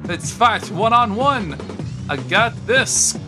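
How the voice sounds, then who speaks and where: Man playing a high-voiced, earnest superhero. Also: animated, takes it slowly. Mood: challenging. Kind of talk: amateur comedy game dub. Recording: music behind the voice.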